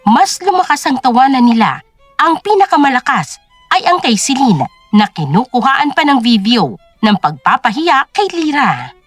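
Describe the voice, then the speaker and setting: A woman narrates an audio drama in a high, very expressive voice. The delivery is scripted storytelling, recorded dry with soft music underneath. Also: moderate pace, no echo.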